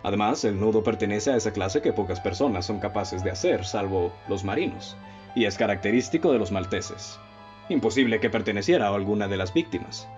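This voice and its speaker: Man acting a low-voiced, methodical detective. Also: expressive, natural voice. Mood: composed, analytical. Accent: Mexican. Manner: formal, measured, explanatory. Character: composed, methodical male amateur detective, confident and analytical. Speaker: amateur radio drama actor. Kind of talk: radio play acting. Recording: dull lo-fi audio with music bed.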